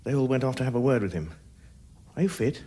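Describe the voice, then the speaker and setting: A man's voice: medium pitch, lively intonation, fast talker, mellow, breathy edge. A man playing a diffident, mildly bewildered space traveller, hesitant and understated, in a radio comedy drama. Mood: earnest, awkward.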